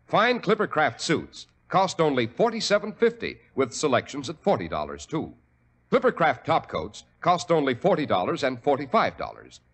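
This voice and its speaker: A man with an American accent announcing a 1940s radio sponsor pitch in a polished, emphatic, salesmanlike way. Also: a bit bright, slightly rough.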